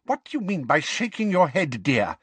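A man voicing a simpering, falsely sweet middle-aged female official in a high, coaxing tone for an audiobook. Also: wildly swooping pitch, unhurried, no echo, full character voice. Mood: sugary.

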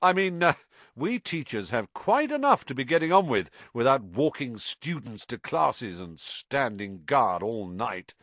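A man's voice: medium pitch, animated, unhurried, a bit bright, no echo, pitched above the performer's own voice. A vain, pompous teacher, as a male narrator puts him on, declaiming with sweeping rises and falls. He sounds smug and self-assured. The sound is dry and close. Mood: gracious.

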